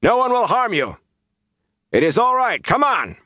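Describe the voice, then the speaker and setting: Man playing a gruff commanding village leader, raised voice. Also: high-pitched, big pitch swings, measured pace, sharp tone, no echo, clean studio sound, pitched above the performer's own voice, exaggerated voice. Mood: shouting, commanding. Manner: growling, barking orders.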